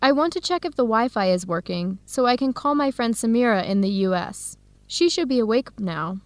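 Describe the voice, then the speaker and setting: Woman acting as a determined young american gal, highish voice, simple clear lines, radio drama, no room sound. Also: lively intonation, fairly quick, no room sound, natural voice. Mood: friendly, at ease. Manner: clear, simple scripted sentences. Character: Helen, determined young American woman searching for birth family.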